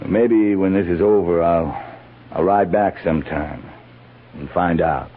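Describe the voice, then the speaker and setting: An actor playing a terse, commanding US cavalry captain in a 1950s radio western, speaking in clipped, authoritative phrases. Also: male voice, deep voice, a little slow. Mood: awkward, gentle. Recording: muffled, hissy old-time radio recording.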